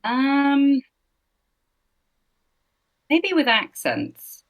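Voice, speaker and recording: A woman's voice: high voice, wildly swooping pitch, drawn-out words. A woman from Scotland with no Scottish accent, a voice actor chatting thoughtfully in an interview, with many fillers. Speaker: voice actor, guest. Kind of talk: casual interview chat.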